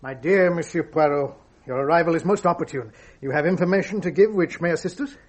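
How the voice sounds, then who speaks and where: An excitable French police inspector in a radio play. He talks fast in a fairly high, rough-edged voice, formal and eager. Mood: excited, flustered. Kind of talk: radio drama acting.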